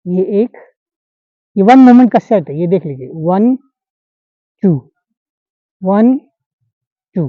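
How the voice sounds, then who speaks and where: A man's voice: high-pitched, expressive, takes it slowly, pauses often, no echo. A man instructing a class, demonstrating pressure points on a volunteer and checking that the students follow. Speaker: acupressure instructor. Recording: dull lo-fi sound.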